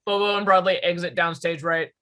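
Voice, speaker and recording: High, bright-voiced man reading directions. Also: fairly even intonation, fairly quick. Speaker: stage directions reader. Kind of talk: scripted narration.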